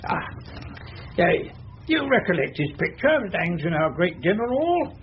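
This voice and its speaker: A man's voice: high voice, big pitch swings, moderate pace. An actor playing a cheerful, doddery man in his eighties who reminisces fondly in a radio drama. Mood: fond, reminiscing. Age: 80s. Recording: muffled lo-fi radio, faint noise.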